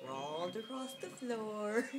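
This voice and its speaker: A woman's voice: mid-range voice, very animated, takes it slowly, soft background music. A woman giving a mock-dramatic reading of a children's picture book, with jokey, sardonic, giggly asides, on an amateur home video.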